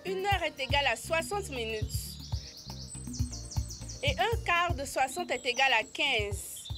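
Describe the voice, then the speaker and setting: A woman's voice: sits high, expressive, takes it slowly, music in the background. A woman voicing an eager young animal pupil in an educational cartoon, childlike, earnest and keen to learn.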